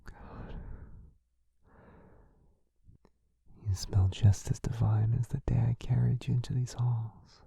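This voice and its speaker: A man playing a tender, seductive vampire lover in an ASMR roleplay, speaking slowly in a breathy, husky, intimate whisper, close to the microphone. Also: medium pitch, steady tone, no echo, heavily acted. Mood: adoring, hungry. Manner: slow, intimate, gentle, reassuring. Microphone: very close microphone, dry.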